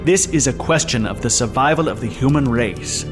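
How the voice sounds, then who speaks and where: An actor playing a calm, commanding envoy making a persuasive offer in a sci-fi audio drama. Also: male voice, medium pitch, lightly acted. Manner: persuasive, grave, measured. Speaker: envoy of an immortal society. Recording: music bed under the voice.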